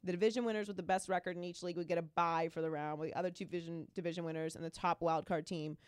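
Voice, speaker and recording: A woman in her thirties hosting a casual sports podcast, her voice hoarse from illness, talking in an animated, emphatic way. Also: lowish voice, rapid-fire. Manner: animated, emphatic, riffs with rising exclamations. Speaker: podcast host.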